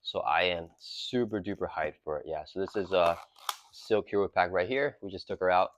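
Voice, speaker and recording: A man's voice: low voice, normal speed, a little hiss or hum. A man hosting his channel, chatting casually through an unboxing of a card game with lots of fillers, his throat sore and a cough drop in his mouth. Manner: relaxed, rambling, many fillers. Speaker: channel host.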